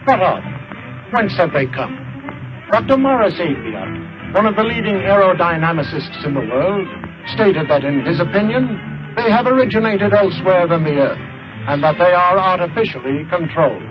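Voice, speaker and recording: A man's voice: sits high, sing-song, normal speed. An American man narrating a vintage film in a grave, portentous, formal scripted style. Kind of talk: 1950s dramatic scripted narration. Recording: dull old film soundtrack with music behind. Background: music.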